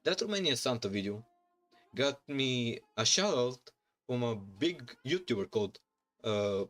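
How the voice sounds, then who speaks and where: A Bulgarian man talks to his stream in English, his second language, and his accent comes through. He rambles and restarts often, and his voice sits at a medium pitch. Soft music plays faintly under him. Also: lively intonation, unhurried. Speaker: streamer and YouTuber. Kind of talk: casual livestream chat. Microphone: desk microphone.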